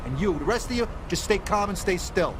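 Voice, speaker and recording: A quick, somewhat high-voiced New robber, tough and commanding. The crime game scene has clear noise behind it. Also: male voice, animated. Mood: commanding, threatening.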